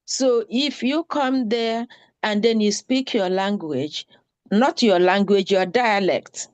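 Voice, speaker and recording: A woman's voice: medium pitch, slow, a little mellow, smooth. A woman makes an earnest, pleading appeal at an online town hall. She repeats her points for emphasis.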